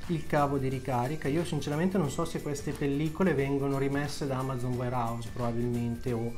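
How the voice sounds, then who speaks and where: A man's voice: medium pitch, steady tone, brisk, a little mellow, soft background music. A man with an Italian accent casually narrating a tech unboxing, talking straight to his viewers with lots of fillers. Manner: chatty, addresses viewers directly, frequent fillers. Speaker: tech youtuber.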